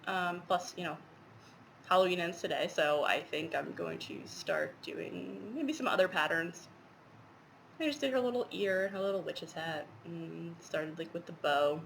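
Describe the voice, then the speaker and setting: A woman's voice: on the low side, a little slow, vocal fry, fairly bright tone, a little hiss or hum, low-quality recording. A woman with a stuffy head cold, chatting casually and rambling with lots of fillers as she shows her stitching projects. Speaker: cross-stitch vlogger. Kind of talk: casual show-and-tell chat.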